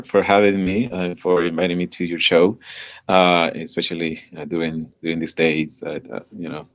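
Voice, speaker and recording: Very deep-voiced man from El Salvador. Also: lo-fi sound. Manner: halting, many fillers and repeated words. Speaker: guest, nonprofit director. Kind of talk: live podcast interview.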